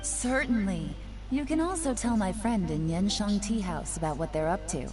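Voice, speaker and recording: A poised, shrewd young woman investigator from a video game, played by an actress, speaks at a middle female pitch. Her manner is formal, courteous and coy, with clear music behind her. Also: animated, moderate pace, lightly acted. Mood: smooth flattery. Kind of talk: scripted video game dialogue.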